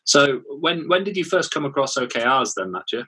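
A man's voice: medium pitch, very animated, brisk, bright, forceful tone, breathy edge, rough recording. A man hosting a podcast, upbeat and given to repeating his words as he interviews a guest. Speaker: podcast host.

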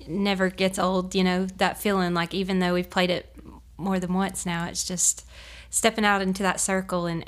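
Soft-spoken North Carolina woman, low and even. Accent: Southern US, North Carolina. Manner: earnest, gentle, searching for words. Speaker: bluegrass singer guest. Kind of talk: casual interview. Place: hotel room.

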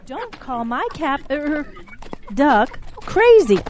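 A woman's voice: high voice, very animated, slow, a little mellow, a little hiss or hum, heavily acted. A woman voicing a doting, defensive mother cow in an animal audio drama, speaking earnestly.

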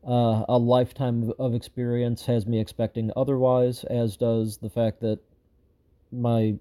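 Dark-voiced, frustrated, rambling man. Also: mid-range voice, little pitch movement, measured pace. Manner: rambling and frustrated, lots of fillers and restarts. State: slightly hoarse. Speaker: show host giving an update. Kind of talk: unscripted personal update to viewers.

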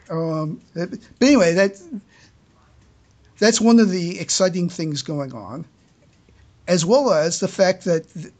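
A podcast host chatting casually with a halting delivery, often stammering and repeating words. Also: medium pitch, darkish tone. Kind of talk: casual fan podcast discussion.

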